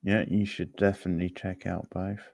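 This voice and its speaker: A man hosting a livestream quiz, chatting casually in an unhurried, rambling way as he replies to viewers. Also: deep voice, flat delivery, vocal fry, a little mellow, no room sound.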